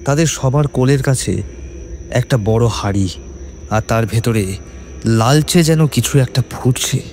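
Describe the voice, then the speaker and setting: A man narrating a scripted horror story in suspenseful, measured phrases. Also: mid-range voice, darkish tone, a little hiss or hum. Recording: faint music behind.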